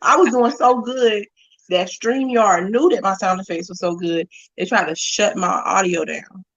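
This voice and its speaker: A woman's voice: medium pitch, moderate pace, a bit bright, highs cut off. A woman guesting on a podcast, talking in a blunt, playful, casual way. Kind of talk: casual chat.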